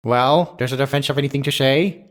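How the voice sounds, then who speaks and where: A man voicing a formal, measured courtroom judge in a video game dub. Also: mid-range voice, natural voice. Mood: questioning. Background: music.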